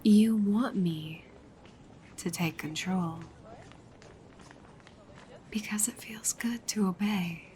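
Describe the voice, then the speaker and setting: A woman playing a dominant, teasing goddess girlfriend in an ASMR roleplay, soft, breathy and often whispering, coaxing and commanding. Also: mid-range voice, slow, pauses often, slight background noise, close to an everyday voice. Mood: hypnotic, commanding.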